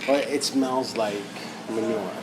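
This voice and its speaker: A man from Puerto Rico with a Puerto Rican accent, telling stories in a relaxed, casual way on a podcast. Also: medium pitch, slow pace, heavy background noise. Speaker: podcast co-host. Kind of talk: casual chat.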